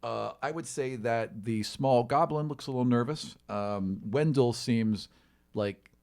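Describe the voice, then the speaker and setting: A man's voice: mid-range voice, unhurried, creaky, darkish tone. A man improvising casual narration as game master of a tabletop role-playing game.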